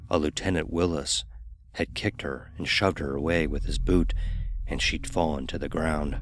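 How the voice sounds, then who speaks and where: A man with an exceptionally deep voice narrates a horror story. His delivery is scripted and measured, with an ominous, dramatic weight to it.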